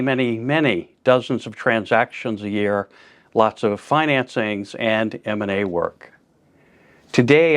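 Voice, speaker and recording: A man hosting an interview podcast, asking plainspoken questions in a friendly way. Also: medium pitch. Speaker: podcast host.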